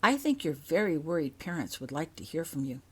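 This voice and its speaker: A woman's voice: low-pitched, very animated, brisk, a bit bright, slightly rough, heavily acted. A woman voicing a kind, cheerful middle-aged wizard father in a storybook.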